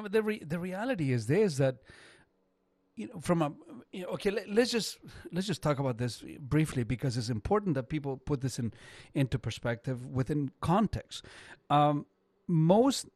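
A man in his fifties hosts a talk radio show in a slightly higher voice. English is not his first language, and he often stumbles and repeats words as he starts sentences. The studio sound is close and dry. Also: darkish tone, no echo. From not Canada.

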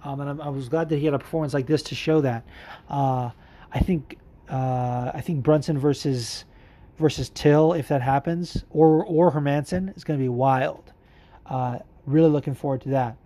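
A man's voice: mid-range voice, fairly even intonation, moderate pace, warm tone. A man hosting a casual podcast, answering listener questions about mixed martial arts in a candid, profane, rambling way. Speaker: podcast host.

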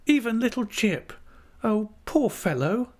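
A frail young wife in a storybook reading, acted out by a man, pitched well up. She sounds tender and childlike, with a wistful, pleading simplicity in the way she talks. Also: big pitch swings, moderate pace, darkish tone, exaggerated voice. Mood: pitying.

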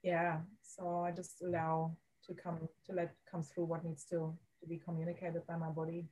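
A woman with a German accent speaks in a mellow, low voice with very little rise and fall. She talks slowly and hesitantly, often pausing to find her words as a podcast guest. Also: monotone, poor audio. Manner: soft, halting, searching for words. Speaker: guest, women's coach. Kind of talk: podcast interview.

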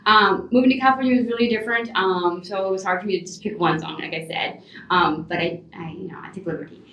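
A woman from Venezuela talks quickly and animatedly as a podcast guest, with plenty of fillers. She sounds far from the mic. The room around her is noticeably echoey. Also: mid-range voice. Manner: animated, chatty, many fillers. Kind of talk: casual interview answers. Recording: roomy, distant remote mic.

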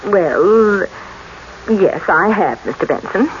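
Dutiful secretary on muffled radio. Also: female voice, mid-range voice, expressive, takes it slowly. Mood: polite, puzzled. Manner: deferential, hesitant. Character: polite, hesitant, dutiful female office secretary. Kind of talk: old-time radio drama acting. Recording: muffled, noisy old radio recording.

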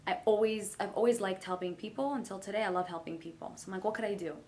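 A woman, a realtor talking in a relaxed, chatty, easygoing way. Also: medium pitch, fast. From Brooklyn, New York. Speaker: realtor guest. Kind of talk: casual interview chat.

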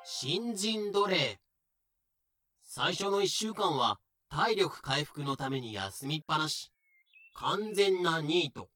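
Male explainer narrator, slightly high, crisp bright tone, measured scripted delivery. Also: expressive, faint music behind.

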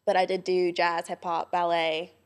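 Young woman from Hawaii, slightly low pitch, friendly storyteller, interview guest. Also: level tone, moderate pace. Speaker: guest, small business owner. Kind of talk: casual interview chat.

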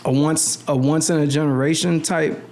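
A man's voice: on the high side, steady tone, normal speed, a little mellow. A man in his thirties chatting casually as a guest, slangy and rambling, often restarting his sentences. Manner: slangy, rambling, lots of restarts and "you know what I'm saying". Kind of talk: casual conversation.

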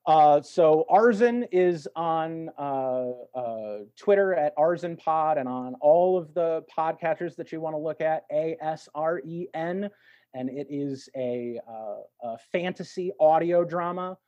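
A man's voice: fairly high pitch, unhurried, warm tone, no echo, dull audio. A man talking in a reflective, conversational way as a podcast guest, encouraging and reassuring as he gives advice. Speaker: podcast guest, actor and tarot reader.

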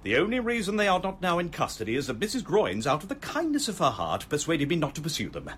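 Pompous English police inspector, swooping delivery. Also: male voice, a little high, wildly swooping pitch, fast, a bit bright, a little hiss or hum. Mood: smug explaining. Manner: pompous, long-winded, emphatic. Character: pompous, gullible male police inspector, smugly self-satisfied. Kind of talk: BBC radio comedy acting.

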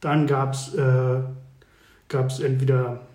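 A man chatting casually as a podcast co-host, reminiscing haltingly and often checking that his listener follows. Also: medium pitch, level tone, a little mellow. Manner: halting, searching for words, seeks confirmation. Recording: echoey room.

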